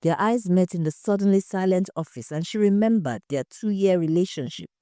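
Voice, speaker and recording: A woman narrating a scripted story in a measured, dramatic way. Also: on the low side, lively intonation, mellow, no room sound, studio quality. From Nigeria.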